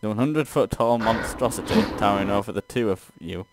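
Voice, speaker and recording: A man's voice: fairly low pitch, fairly quick, very noisy. A man doing a let's play, reading a text game aloud and reacting casually.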